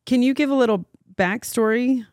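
A woman's voice: a little high, normal speed, darkish tone, no echo. A woman co-hosting a casual church podcast, playful and teasing, with lots of short agreeing replies. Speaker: podcast co-host.

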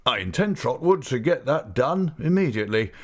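A man reading an audiobook, voicing a mild, childlike older gentleman who talks in a hurried, earnest way. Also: medium pitch, sing-song, voice pushed up. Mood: eager. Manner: hurried, a little flustered and earnest. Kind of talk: audiobook character voice.

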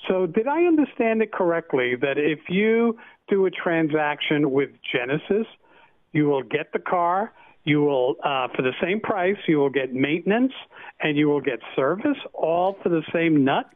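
A man's voice: fairly high pitch, animated, normal speed, no echo. A man calling in to a radio show over a narrow phone line, chatting casually with wry teasing. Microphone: telephone.

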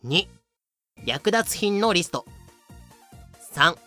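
A man's voice: high voice, expressive, drawn-out words, sharp tone, soft background music. A man reading scripted comedic narration for a voice drama, explaining things with dry, sarcastic asides.

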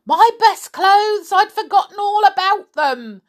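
A woman reading a story aloud, voicing a fierce, bossy old woman who scolds her children in a shrill, high voice. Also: expressive, measured pace. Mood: scolding.

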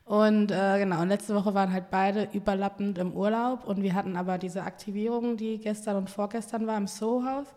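A woman's voice: medium pitch, fairly even intonation, a little fast, hardly any pauses, warm tone, long reverb tail. A woman from Ghana who grew up in Germany, a podcast guest talking casually about her agency and childhood, laughing often and using lots of fillers.

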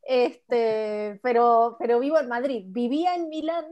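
A woman's voice: a little high, steady tone, measured pace, a little mellow, highs cut off. Chatty Argentine woman on a video call. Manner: chatty, friendly, frequent restarts. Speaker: host of book club. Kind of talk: casual chat.

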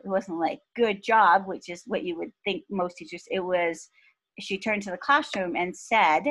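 A woman in her fifties, from Pakistan, talking thoughtfully as a podcast guest with soft laughs. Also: fairly low pitch. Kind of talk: reflective interview talk.

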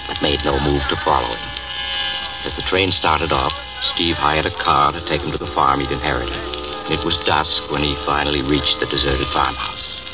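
A man with a very deep voice tells the story in a measured, ominous tone. His narration sits a little back from the mic in a dull old radio recording. Loud music plays underneath. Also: far from the mic. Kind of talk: scripted narration for radio drama.

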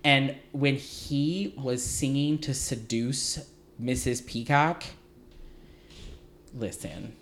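A man hosting a podcast, telling stories in an animated, gossipy way with a slight lisp now and then. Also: mid-range voice, very slow, pauses often, slight background noise. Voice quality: light lisp at times. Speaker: podcast host. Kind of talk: casual chat.

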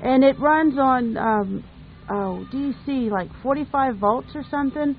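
A woman's voice: high voice, measured pace. An American woman co-hosting a radio show in casual, joking chat. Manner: joking, jovial. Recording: thin, noisy phone-like sound.

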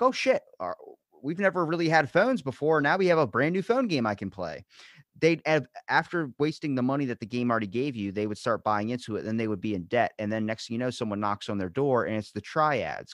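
A man with a Baltimore accent, talking in a rambling, animated way and swearing casually in a podcast chat. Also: medium pitch, no echo, clean studio sound.

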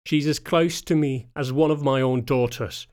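Man acting as an older wolfhound knight, formal, honour-bound, strained restraint, medium-pitched, audiobook character. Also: moderate pace, lightly acted. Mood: anguished, restrained. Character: lean, big, dutiful older male wolfhound knight.

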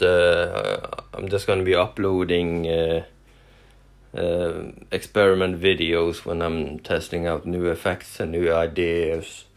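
A man from Norway with a Norwegian accent, speaking English as a second language while hosting a casual solo podcast. He pauses often, with many fillers and restarts. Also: low-pitched. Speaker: podcast host.

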